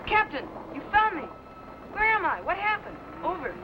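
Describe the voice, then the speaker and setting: A performer playing an excited young boy assistant in a vintage science fiction serial. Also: very high-pitched, normal speed. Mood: excited, bewildered. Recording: noisy, dull vintage broadcast.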